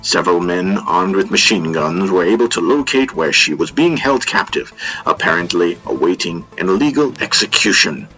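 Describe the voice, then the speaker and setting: A man playing a formal, measured news broadcaster reading a scripted bulletin. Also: deep voice, expressive, sharp tone, soft background music, low-quality recording. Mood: grave, formal. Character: formal male news broadcaster reporting a rescue.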